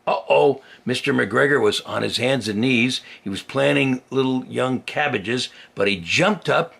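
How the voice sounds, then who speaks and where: An older man, a grandfather, reads a children's story to a young child with expressive, playful delivery and simple chatty explanations, in a husky, gravelly voice. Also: medium pitch, measured pace.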